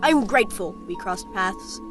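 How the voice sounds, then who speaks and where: A woman's voice: mid-range voice, expressive, a little slow, over music, natural voice. A woman playing a curious, earnest young boy in an audio storybook, with a childlike manner. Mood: grateful, upbeat. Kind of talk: audio storybook character acting.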